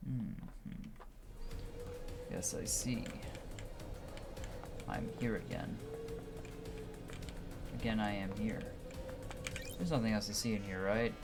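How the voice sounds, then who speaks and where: A gamer with a mid-pitched voice plays through a video game, reading on-screen text aloud and muttering short comments in between. Game music runs behind the voice. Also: slow, pauses often. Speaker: video game player and amateur voice-over reader. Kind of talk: let's play commentary with dialogue read aloud.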